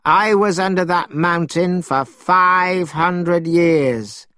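A man voicing a brash, proud, hot-tempered monkey king for a storybook, with an emphatic, cocky, drawn-out delivery. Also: high-pitched, sharp tone, no room sound, studio quality, voice pushed up, exaggerated voice. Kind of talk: storybook character voice.

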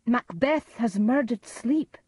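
An eerie spirit voice from a storybook, played by a woman. It proclaims doom slowly and ominously, lifted in pitch and forceful in volume. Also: lively intonation, husky, no room sound, exaggerated voice. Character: eerie disembodied voice crying doom.

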